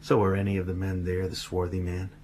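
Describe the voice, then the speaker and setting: A man's voice: deep voice, monotone, a little fast, a little mellow, slight background noise, natural voice. An actor playing a male police detective pressing witnesses with questions in a radio drama.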